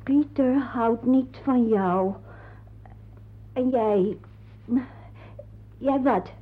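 A woman playing a fussing, coaxing aunt and mother of grown sons in a radio drama, halting and repeating herself, with a husky voice. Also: a little high. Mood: pitying, soothing. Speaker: radio play actress. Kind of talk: radio drama monologue to a silent listener. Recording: dull, muffled radio play recording.

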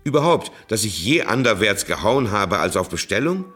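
A stubborn, guarded villager in a radio play. His low voice moves expressively between deliberate narration and tense replies, with music clearly behind him. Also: very animated, a bit bright. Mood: guarded, firm. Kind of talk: experimental radio play, alternating narration and acted dialogue.

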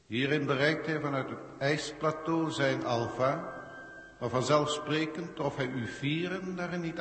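An actor playing a pompous, condescending alien proctor of a galactic council in formal science-fiction radio drama style. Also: male voice, mid-range voice, a bit bright, natural voice. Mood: commanding. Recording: echoey hall-like reverb, vintage radio recording.

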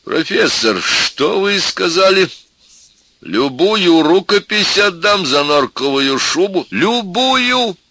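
Here is a man playing a tipsy, desperate professor in an audio story. He pleads in a high, sharp wail, with wide melodramatic swings. He sounds forever on the edge of hysterics. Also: sing-song, slow, creaky, few pauses, slightly rough, no room sound, heavily acted. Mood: grief-stricken pleading. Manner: wailing, imploring, melodramatic.

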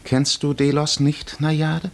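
An actor playing a weary, worldly wandering castaway hero, middle-aged and gently teasing, with measured, musing delivery in a 1950s German radio drama. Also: male voice, on the high side, level tone.

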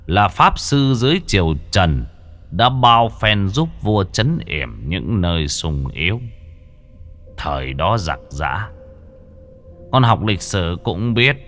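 A man voicing a kind, toothless old grandfather's ghost who speaks slowly and softly. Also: sits low, heavily acted. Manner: slow, soft and drawn out. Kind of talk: audio horror storytelling.

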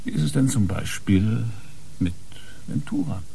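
Husky, taunting police inspector. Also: male voice, fairly low pitch, lively intonation, a little slow, dark tone, heavily acted. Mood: sly, pressing. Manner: pressing, taunting, mocking. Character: hard, sarcastic police inspector grilling suspects. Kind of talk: German radio crime drama acting.

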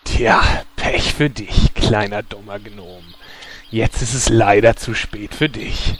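A man playing a smug, sly villainous rogue in a parody fantasy audio drama, speaking in a theatrical, drawn-out way. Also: on the low side, fairly even intonation, a bit bright.

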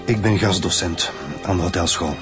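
A man plays a bitter, articulate Flemish murderer making his confession in a radio play. His voice is very low, with music playing behind it. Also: moderate pace, natural voice. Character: male guest lecturer turned murderer, confessing, articulate and bitter. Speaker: actor. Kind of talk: radio drama acting.

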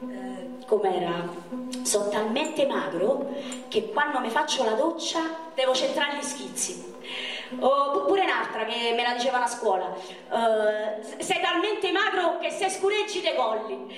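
A woman's voice: on the high side, animated, a little slow, soft background music. A woman on stage playing a very skinny, self-mocking young man from Rome, telling jokes in a declamatory, repetitive way with a Roman Italian accent. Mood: teasing, bantering. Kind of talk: theatrical stage monologue. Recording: echoey stage recording from far off, noisy.

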